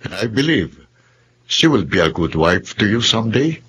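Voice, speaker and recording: A gentle, wise father in a radio drama. His voice is on the low side and swings expressively as he counsels, mixing in English phrases. Faint noise sits behind him. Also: sing-song, moderate pace, lo-fi sound. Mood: gentle, persuasive. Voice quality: mixes English phrases into Tagalog. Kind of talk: Tagalog radio drama acting.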